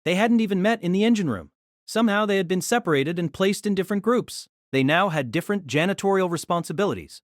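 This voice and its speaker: Expressive novel narrator, lively pitch. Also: fast talker, dry recording, studio quality.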